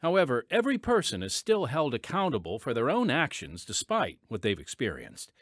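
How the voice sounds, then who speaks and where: A man's voice: mid-range voice, huge pitch swings, brisk, a bit bright, dry recording, clean studio sound. A man hosting as announcer, reading dramatic scripted radio narration with folksy, emphatic showmanship. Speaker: announcer and host. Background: music.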